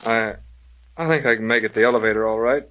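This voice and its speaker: An actor playing an injured, dazed but wryly stoic man in a 1930s radio drama, speaking haltingly. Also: lowish voice, a bit bright, close to an everyday voice. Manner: halting, understated. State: groaning, in pain. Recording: slightly noisy vintage radio recording.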